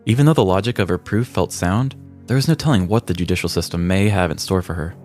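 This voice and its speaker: Male podcast host, very low voice, scripted comedic crime narration over a music bed. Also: fast, a little mellow.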